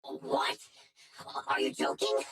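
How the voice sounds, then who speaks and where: An actress playing a menacing, smug female hacker in a video game, taunting and commanding, with a breathy, gravelly edge. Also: slow, a bit bright. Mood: angry, demanding. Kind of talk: English video game voice acting.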